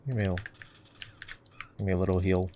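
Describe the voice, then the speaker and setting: A man giving relaxed, casual gaming commentary in an ASMR roleplay, speaking off the cuff as he plays. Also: sits low, fairly quick. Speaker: gamer, voice actor playing a gamer boyfriend. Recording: faint keyboard clicking and typing noise. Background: keyboard typing and clicks.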